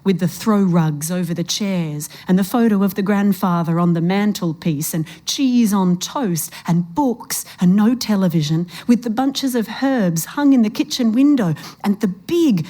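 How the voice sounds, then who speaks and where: A woman's voice: fairly low pitch, dark tone. A woman narrating a suspenseful short story in a literary reading style.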